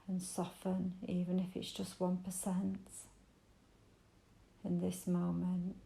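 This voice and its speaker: A woman with a mellow, creaky voice guides a meditation gently and soothingly. Her delivery stays very even and invitational, with unhurried pauses between phrases. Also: on the low side, reverberant, rough recording. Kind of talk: guided body scan meditation.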